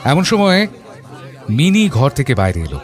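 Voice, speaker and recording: A man narrating an audio story as a thoughtful father, in a measured, literary storytelling manner. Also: mid-range voice, huge pitch swings, some chatter behind. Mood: reflective. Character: thoughtful middle-class father telling his story.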